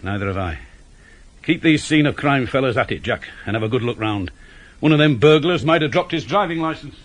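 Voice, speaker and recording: Actor playing a dry, blunt British police inspector. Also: male voice, mid-range voice, expressive, fairly quick, lightly acted. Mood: musing. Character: seasoned, cynical male police inspector, brusque and shrewd. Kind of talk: 1980s British radio drama acting.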